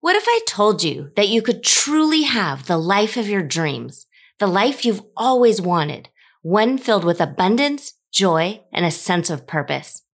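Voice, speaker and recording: An American woman speaks as a podcast host in a conversational style. She is effusive and openly self-deprecating, with a mid-pitched voice. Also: big pitch swings, measured pace, breathy edge. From United States.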